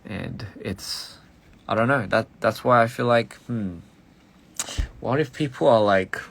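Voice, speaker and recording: Young male musician, low gravelly voice, fast and rambling, casual stream chat. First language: English. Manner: rambling, halting, full of "like" and restarts; switches between English and Korean.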